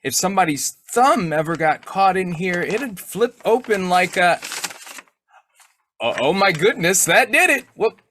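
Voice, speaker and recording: A man's voice: sits high, lively intonation, measured pace, sharp tone, slight background noise, full character voice. A man playing a sly, childlike, blustering husband in old-time radio comedy style, muttering and stammering. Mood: gleeful, sneaky. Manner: muttering to himself, stammering, exclaiming.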